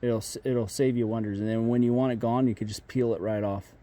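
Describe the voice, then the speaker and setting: An American man speaks at a medium pitch in a plainspoken, informal way. He sounds like a hobbyist vlogger giving a casual hands-on walkthrough, explaining his own work in everyday language. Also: steady tone, a little fast, dark tone. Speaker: vlogger showing his vehicle radio install.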